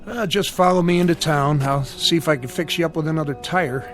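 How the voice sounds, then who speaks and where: An actor playing an easygoing, helpful small-town male mechanic in a radio drama, with a folksy, casual, unhurried way of talking. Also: fairly high pitch, a bit bright, soft background music, lightly acted.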